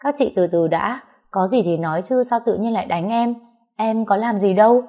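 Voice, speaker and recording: A woman's voice: mid-range voice, normal speed, natural voice. Cornered orphan girl, young adult, for audio story, voiced by a woman narrator: desperate, protesting, standing her ground. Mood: timid, pleading. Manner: pleading, then shouting defiant threats.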